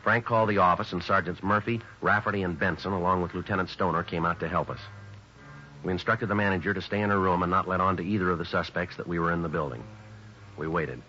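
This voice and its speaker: Deep clipped deadpan police sergeant. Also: male voice, fast talker, slight background noise. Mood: flat, factual. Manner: deadpan, terse. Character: stern, businesslike male police sergeant. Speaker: police sergeant and narrator of a radio police drama. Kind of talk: 1950s radio acting, clipped procedural narration. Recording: dull 1950s radio recording with music.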